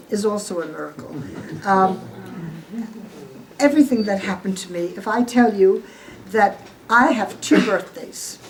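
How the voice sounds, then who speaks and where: Elderly American woman, noisy live recording. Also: medium pitch. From born in Poland, raised in the United States. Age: 80s. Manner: emphatic storyteller, asks listeners questions. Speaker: Holocaust survivor giving testimony. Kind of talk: informal spoken testimony to guests at home. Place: at home, room with gathered guests.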